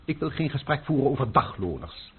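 A man's voice: mid-range voice, big pitch swings, fairly quick, phone-quality sound, a little hiss or hum, close to an everyday voice. A man playing a pompous, fussy old French chef in a radio play, full of dramatic outbursts. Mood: indignant. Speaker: chef Anselme.

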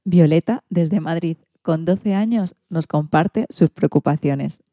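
Low, mellow-voiced Spanish woman presenter. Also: slow pace, no echo. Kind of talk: scripted narration introducing recorded messages.

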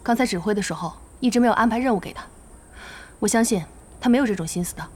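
A woman playing a brisk young team leader in a Chinese audio drama, practical and placating with a bossy edge. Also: fairly high pitch, a little hiss or hum, lightly acted. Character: brisk young female team leader, peacemaking, mildly exasperated.

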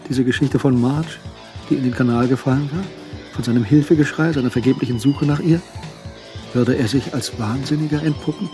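A man's voice: medium pitch, brisk, over music, lightly acted. A man acting as the anxious narrator of a suspect's thoughts in a crime radio play. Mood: tense, agitated.

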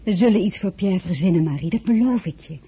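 An actress playing an elegant, composed woman of high rank in a radio drama, narrating and speaking in a measured, intimate way. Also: lively intonation, mellow, husky. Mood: composed, brisk. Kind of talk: radio play acting with first-person narration.

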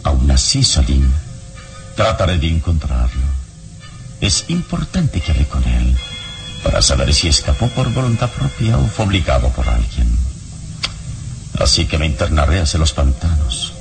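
A man playing a calm, heroic strongman who soothes a wounded beast, in measured, steady radio drama acting. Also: a bass voice, gravelly, music in the background, full character voice. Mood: resolute.

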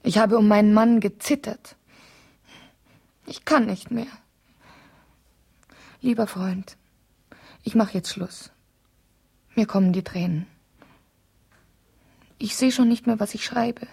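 An actress playing a weary, neglected wife in a radio play, plaintive and formal, with a soft husky voice. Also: female voice, mid-range voice, takes it slowly, pauses often, heavily acted. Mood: tearful, resigned. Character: neglected, suffering wife, weary and self-dramatizing. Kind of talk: radio play, letter monologue.

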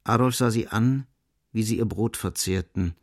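A man playing the sombre narrator of a literary radio drama, reading with grave, measured and deliberate phrasing. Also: lowish voice, no room sound, clean studio sound. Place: studio.

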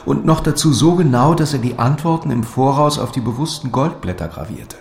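A man's voice: mid-range voice, lively intonation, brisk, gravelly, darkish tone. A man playing a polite, puzzled young lord in an audio drama. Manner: formal, courteous, questioning. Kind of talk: theatrical audio drama dialogue. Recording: echoey room, faint noise.

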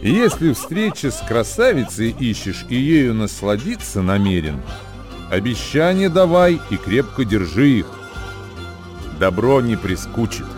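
A man playing a worldly sage who recites old wisdom verse for radio in a measured, declamatory way. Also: medium pitch, sing-song. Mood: knowing, rueful. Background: music.

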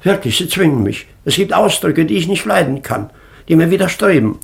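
An actor playing a pompous, easily outraged baron in a German radio play, blustering and exasperated. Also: male voice, fairly high pitch, sing-song, a little fast, gravelly, husky, exaggerated voice.

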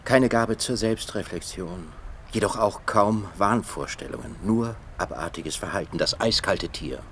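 An earnest professor speaks in a deep, measured voice. He is articulate and faintly ironic, as in a radio drama, with slight noise behind him. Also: fairly bright tone. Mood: earnest, insistent. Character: earnest male professor, narrating and pleading for mercy. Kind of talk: German radio drama narration and dialogue.

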